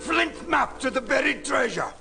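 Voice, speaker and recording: An actor playing a cunning, gruff pirate with a gravelly voice and a drawling, commanding seafaring dialect in a storybook drama. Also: male voice, very high voice, sing-song, moderate pace, full character voice. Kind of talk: mid-century storybook record acting. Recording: orchestral music behind.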